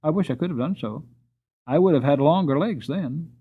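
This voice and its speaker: A man's voice: on the high side, expressive, normal speed, husky, phone-quality sound, voice pushed up. A man voicing a troubled, sweet-tempered mare in a storybook, explaining herself plaintively and earnestly. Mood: troubled, apologetic.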